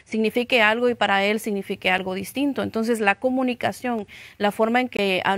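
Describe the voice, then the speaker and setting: A woman's voice: medium pitch, dry recording. A middle-aged woman with a Guatemalan accent, giving reflective, conversational Bible teaching as a pastor. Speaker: pastor co-teaching with her husband.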